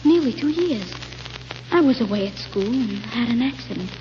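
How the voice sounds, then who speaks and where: Woman acting a high-voiced anguished young boy. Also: lively intonation, moderate pace, darkish tone, natural voice. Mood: fearful, amazed. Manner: pleading, breathless, tearful delivery. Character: anguished young boy crippled in a steel cast. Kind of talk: 1930s radio drama acting. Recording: muffled, noisy 1930s radio recording.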